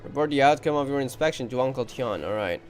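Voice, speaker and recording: Guy streamer just chatting thru a game, medium pitch, chill offhand reactions, bit of game music under it. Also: expressive, quick pace. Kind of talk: casual live playthrough commentary.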